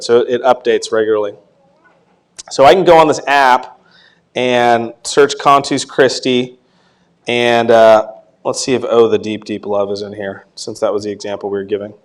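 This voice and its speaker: A man with a tenor voice, not deep, teaching a casual Sunday school class on singing, talking haltingly with many restarts. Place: church classroom.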